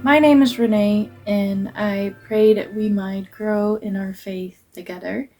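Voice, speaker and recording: A woman's voice: medium pitch, steady tone, measured pace, darkish tone, faint music behind. A young woman whose first language is Dutch, talking through her book picks in an earnest, hesitant way with many ums. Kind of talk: casual book review vlog.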